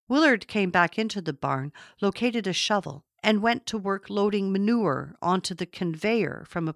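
A woman narrates literary fiction in a dry, studio-like sound. Her delivery is slow and measured, with expressive storytelling and a slightly low voice. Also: dry recording. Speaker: audiobook narrator.

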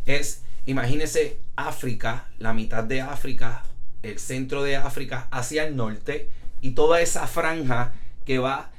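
A hoarse man with a Puerto Rican accent, co-hosting a podcast in casual chat and explaining with eager energy. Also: medium pitch, steady tone, unhurried, a bit bright. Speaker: podcast co-host; teacher.

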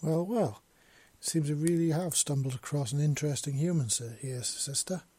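A man's voice: on the high side, moderate pace, dark tone, breathy edge, no room sound. A man voices a big clawed alien male in a storybook. He is a playful, teasing brother type with a drawling delivery. The sound is dry and close.

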